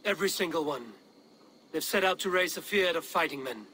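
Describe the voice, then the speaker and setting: Forceful, blunt Viking warrior. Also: male voice, on the high side, expressive, brisk, slight background noise, poor audio. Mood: steady. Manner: direct, challenging. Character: blunt, skeptical Norse warrior, loyal brother.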